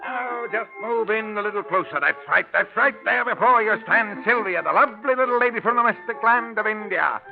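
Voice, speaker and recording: An actor playing an excited carnival barker with singsong sales patter, in 1930s radio drama style. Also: male voice, high-pitched, brisk, vocal fry, hardly any pauses. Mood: excited, hawking. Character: brash male carnival barker, hawking excitedly. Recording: muffled 1930s radio recording, loud music behind.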